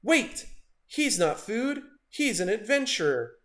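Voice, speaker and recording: Man voicing an encouraging little worm, lively intonation. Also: sits high, slow pace, voice pushed up, heavily acted. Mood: urgent pleading. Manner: encouraging, earnest. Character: small, loyal, encouraging male worm companion.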